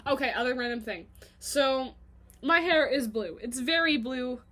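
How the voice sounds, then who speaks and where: Giggly Canadian young woman, high voice. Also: expressive, sharp tone. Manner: chatty and self-mocking, quick giggly asides. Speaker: vlogger. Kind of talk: rambling casual monologue to camera.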